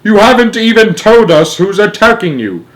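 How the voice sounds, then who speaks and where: A man voicing a blustering, alarmed president in a storybook, shouting in exasperation. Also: medium pitch, big pitch swings, takes it slowly, low-quality recording.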